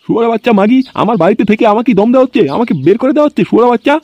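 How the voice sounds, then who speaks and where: A man's voice: extremely high pitch, rapid-fire, a little mellow, muffled recording. A man voicing a crude, whiny, blustering liquor-loving husband in a comedy cartoon. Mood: indignant. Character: boastful, crude husband who loves his liquor. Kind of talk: amateur comedy cartoon voice acting.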